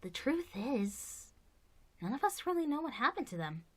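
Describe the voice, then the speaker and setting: Woman playing a lively, motherly cartoon pony guardian. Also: high voice, normal speed. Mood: wistful. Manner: gentle, reassuring. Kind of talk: animated fan drama dialogue.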